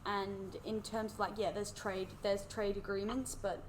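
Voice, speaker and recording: A woman's voice: medium pitch, flat delivery, measured pace, slight background noise, low-quality recording. A young woman from Switzerland, an international student, explaining at length in casual podcast chat with many fillers. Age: young adult.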